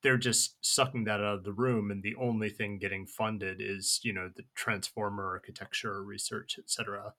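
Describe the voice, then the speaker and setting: A man hosting a podcast, speaking earnestly and steering the conversation gently. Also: on the low side, level tone, normal speed, few pauses. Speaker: podcast host.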